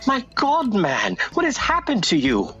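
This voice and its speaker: A man's voice: very high-pitched, wildly swooping pitch, unhurried, pushed and bright, lo-fi sound, heavily acted. A man playing an earnest, anxious doctor in a radio play, with a stammering delivery. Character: earnest, anxious male medical doctor of the 1920s. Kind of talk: dramatic radio play acting.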